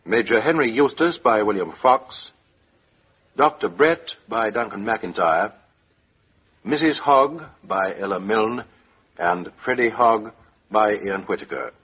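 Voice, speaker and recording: A male radio announcer with a low, husky voice, giving formal closing credits. He is heard on a dull lo-fi 1950s radio broadcast. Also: lively intonation. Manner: measured, formal.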